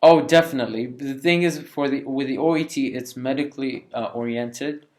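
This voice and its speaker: A man in his twenties from Iraq, a junior doctor speaking in an easy, candid way. His voice sits at an ordinary middle pitch. He answers at length and laughs lightly at himself. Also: normal speed, darkish tone. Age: 20s. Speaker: junior doctor, interview guest. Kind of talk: relaxed conversational answers.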